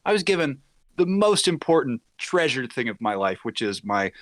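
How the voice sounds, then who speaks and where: A man's voice: medium pitch, moderate pace, dry recording. A man in his thirties chatting casually and emphatically as a podcast guest, swearing freely. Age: 30s. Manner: animated, emphatic, profane. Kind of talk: casual chat.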